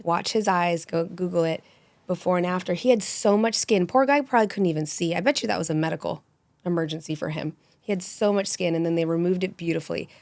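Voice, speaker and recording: A woman in her forties, a med spa injector, telling stories animatedly and quickly in a casual podcast chat. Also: on the low side, vocal fry, dry recording. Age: 40s. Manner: animated, rapid storytelling.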